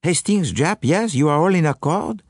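A man voicing a self-assured, courteous and exacting detective in an audiobook, with a pompous, deliberate manner. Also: on the high side, lively intonation, breathy edge, no echo, studio quality, pitched above the performer's own voice. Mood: commanding, courteous. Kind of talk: audiobook character voice.

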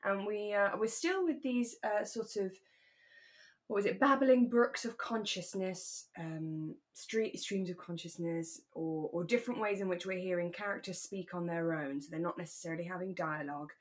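A woman's voice: on the low side, normal speed. A woman co-hosting a podcast, chatting casually in an effusive, teasing way. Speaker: podcast co-host. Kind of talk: casual chat.

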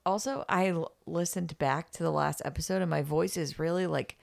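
Gravelly, sleepy-sounding woman co-host. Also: low voice, steady tone, moderate pace, dry recording, studio quality. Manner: drowsy, yawning often. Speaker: podcast co-host. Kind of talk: casual chat. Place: United States.

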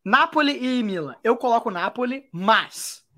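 A woman's voice: on the high side, expressive, moderate pace, fairly bright tone. A Brazilian woman hosting a podcast, chatting about football in a loose, wry way with many restarts. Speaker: podcast host. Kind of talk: casual football chat.

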